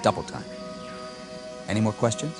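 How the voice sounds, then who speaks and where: An actor playing a stern, gung-ho American army lieutenant in a radio drama, clipped and commanding. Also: male voice, on the low side, sing-song, normal speed, over music.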